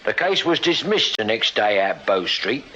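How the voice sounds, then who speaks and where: Bright-voiced, dutiful London police constable. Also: male voice, lowish voice, very animated, normal speed, heavily acted. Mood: reading reports haltingly. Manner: deferential, hesitant when reading aloud. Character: dutiful, plain-spoken London police constable, slightly bumbling. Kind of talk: British period radio drama acting. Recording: slightly dull, hissy radio-drama recording.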